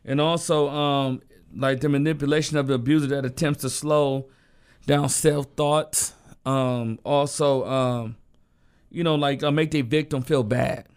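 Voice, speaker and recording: Hoarse guy cohosting, laid-back, rambly with lots of um and like. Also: mid-range voice, fairly even intonation, a little slow. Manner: laid-back, loose and repetitive, lots of fillers. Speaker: co-host. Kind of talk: casual podcast chat.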